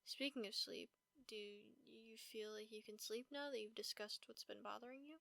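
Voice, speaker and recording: A woman playing a caring vampire roommate in a comfort audio roleplay, speaking softly, gently and reassuringly. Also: on the high side, moderate pace, gravelly, no echo. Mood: soothing. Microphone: close, dry microphone.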